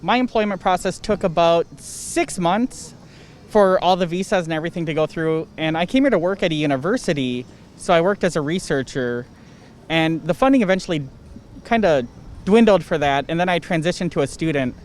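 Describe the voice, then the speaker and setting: Man giving careful answers outside, faint street noise, slightly high voice. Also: expressive, fairly quick. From United States. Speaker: interviewee. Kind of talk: casual answers. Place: city street outdoors.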